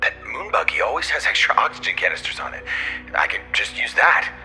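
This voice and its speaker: Desperate male astronaut, audio drama monologue, strained breathless delivery, sharp tense voice, huge pitch swings, faint music. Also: very animated, fairly quick, low-quality recording. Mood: strained, determined. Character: stranded, desperate male astronaut talking to himself.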